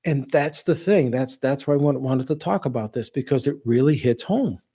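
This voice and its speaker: A man's voice: medium pitch, normal speed, warm tone, husky. A man of about sixty hosting a casual livestream, talking in a plainspoken, folksy way and rambling into asides. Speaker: livestream host.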